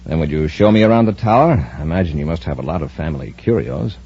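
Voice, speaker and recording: An actor playing a calm, authoritative space patrol commander in a 1950s radio serial style. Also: male voice, extremely low pitch, fast, slightly rough, exaggerated voice. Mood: politely firm. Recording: muffled, noisy old-time radio recording.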